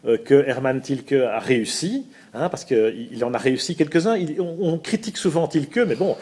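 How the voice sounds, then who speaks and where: A man's voice: mid-range voice, expressive, moderate pace, a little mellow, slightly rough. A man with a Belgian French accent hosting a casual motorsport chat, talking in bursts with many hesitations and restarts. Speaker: host.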